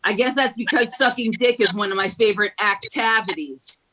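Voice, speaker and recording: A woman performing stand-up one-liners at an online open mic, with a slow deadpan delivery and giggles between jokes, heard over a video call. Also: medium pitch, rough recording. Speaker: stand-up comedian.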